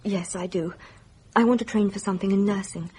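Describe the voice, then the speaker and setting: A woman's voice: mid-range voice, steady tone, fairly quick, darkish tone, husky. An actress playing a meek, grateful young woman who speaks deferentially in a British radio mystery drama. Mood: grateful, shy. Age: young adult.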